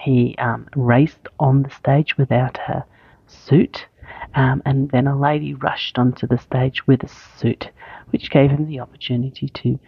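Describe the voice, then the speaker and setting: A woman's voice: extremely low pitch, flat delivery, measured pace, creaky, slightly rough, dry recording, highs cut off. A woman, speaking as the host of an interview podcast.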